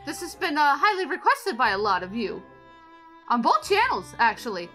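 A woman's voice: high voice, wildly swooping pitch, moderate pace, bright, forceful tone, faint music behind, exaggerated voice. A woman voicing an elegant female anime attendant, teasing and playfully prim, in improvised gaming banter. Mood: chatty, curious.

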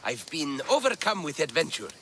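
An actor playing an excitable Greek eccentric in a video game, theatrical and overwrought. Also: male voice, a little high, moderate pace, background noise. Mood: grandiose. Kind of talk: video game cutscene acting.

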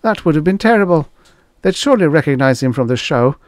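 A man voicing a nervous, eager male studio assistant, high and anxious. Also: lively intonation, fast, dry recording, lightly acted.

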